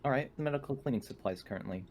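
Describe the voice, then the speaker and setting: A man with a medium-pitched voice takes part in a tabletop game, speaking casually. There is clear background noise in the recording. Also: rapid-fire, a little mellow.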